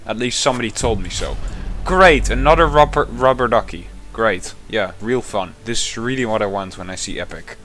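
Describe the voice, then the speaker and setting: A man giving casual, sarcastic commentary while opening game packs, grumbling and then breaking into sudden excited yells. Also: fairly low pitch, moderate pace, hardly any pauses. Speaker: gaming content creator.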